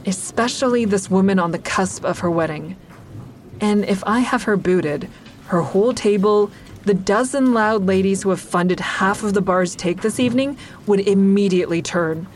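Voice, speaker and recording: A woman's voice: medium pitch, normal speed, slight background noise, natural voice. An actress playing a wry stand-up comedian who narrates her own story conversationally in an audio drama. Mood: wry, reflective.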